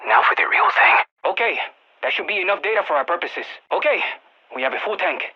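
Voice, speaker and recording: An actor playing an anxious, excitable nerdy scientist in a video game, speaking over a radio, expressive and prone to stammering and repeating words. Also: medium pitch, a little fast, husky, muffled recording. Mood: brisk, focused. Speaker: field research scientist.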